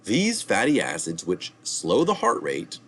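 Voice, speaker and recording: Low-voiced guy reading an article out loud, lively delivery, little asides, faint hiss behind him. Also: unhurried. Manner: reads steadily, adding asides and correcting slips. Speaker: reader of health articles. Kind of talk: reading an article aloud with spoken citations.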